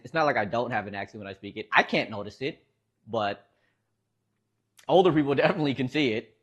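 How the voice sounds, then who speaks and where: Lively Midwestern man chatting with his stream. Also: fairly high pitch, slightly rough. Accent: Midwestern American. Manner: rambling, laughs often, talks back to chat. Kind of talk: casual chat with live chat. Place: home streaming setup.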